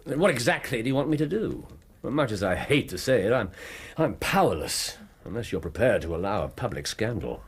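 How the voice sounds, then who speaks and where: An actor with an English accent playing an incisive, grave Victorian detective in a radio drama, speaking precisely and with dramatic emphasis. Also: male voice, on the low side, sing-song, fairly quick, husky, full character voice. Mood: grave, intense.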